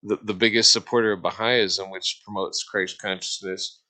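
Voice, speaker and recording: Man, slightly low pitch, sharp bright tone, rambling casual talk, halting and stammering. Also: fairly even intonation. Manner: halting, stammers over words.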